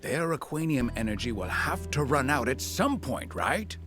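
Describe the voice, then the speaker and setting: A bumbling, well-meaning fellow from a game cutscene, played by a man. His mid-pitched voice is hesitant and sheepish, wobbling up and down over background music. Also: sing-song, moderate pace, natural voice. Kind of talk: video game cutscene acting.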